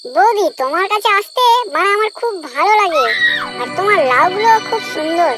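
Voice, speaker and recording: A man with a West Bengal accent voicing a cheeky, boozy young village man in a crude comedy cartoon, bantering in slang through a pitch-raising effect. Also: lively intonation, a little fast, full character voice. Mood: smooth-talking. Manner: bantering, foul-mouthed. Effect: pitch-raised cartoon voice. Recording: loud music over the voice.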